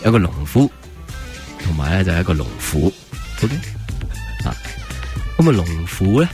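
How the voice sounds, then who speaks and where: A man with a low, easygoing voice hosts a Hong Kong radio talk show in a Hong Kong Cantonese accent. He banters with his guest in a relaxed, joking way, tossing in quick agreements. Faint music plays under the studio talk. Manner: laid-back, joking, frequent affirming "yes, yes".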